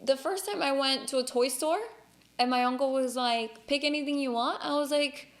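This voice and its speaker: A woman's voice: high voice, normal speed. A woman from Cuba, a native Spanish speaker, hosting a casual conversational podcast in an earnest, emphatic way. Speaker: podcast host.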